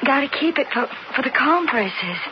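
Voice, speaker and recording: A woman playing an anxious, tender American frontier woman, fretful and hushing, in a radio drama. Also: high voice, animated, normal speed. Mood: tender, worried. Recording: muffled radio broadcast, loud noise.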